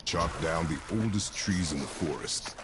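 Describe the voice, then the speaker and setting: A man's voice: deep voice, normal speed, close to an everyday voice. An actor playing a stern, terse middle-aged warrior father who speaks in curt, commanding, clipped sentences, heard in game audio. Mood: storytelling. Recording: game audio with loud water and boat noise.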